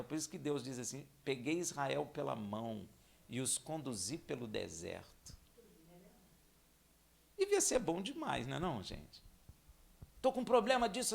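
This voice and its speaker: Male preacher, Brazilian accent, slow delivery, creaky voice, conversational sermon, rhetorical questions, dramatic emphasis. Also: medium pitch. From Minas Gerais, Brazil. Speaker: congregation teacher and preacher. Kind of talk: expository sermon with scripture reading.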